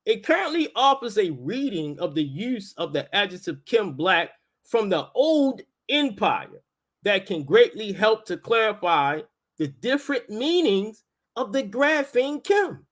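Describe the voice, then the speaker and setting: A man from Baltimore with a clearly high-pitched voice and the local accent. He hosts in a loose, colloquial style, explaining and pressing his point as he goes. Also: big pitch swings, a little slow, fairly bright tone. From Baltimore, United States. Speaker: host, linguistics teacher. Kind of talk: casual live talk with debate.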